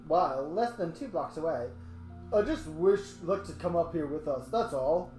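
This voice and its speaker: Podcast co-host reading parts plainly, low but lively, with a roomy sound. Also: female voice, moderate pace. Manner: explains lines, reads parts plainly. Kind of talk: casual table read. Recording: roomy with faint music.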